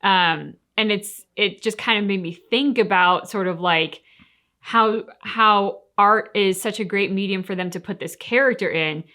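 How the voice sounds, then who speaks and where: A woman's voice: medium pitch, measured pace, pushed and bright. A woman co-hosting a game reaction show, talking casually and reflectively in an earnest, explanatory way.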